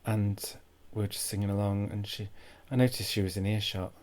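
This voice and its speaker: Awkward deadpan guy doing a comedy monologue, low soft mellow voice, halting, keeps repeating himself. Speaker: comic monologue host. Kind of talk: deadpan first-person comic storytelling.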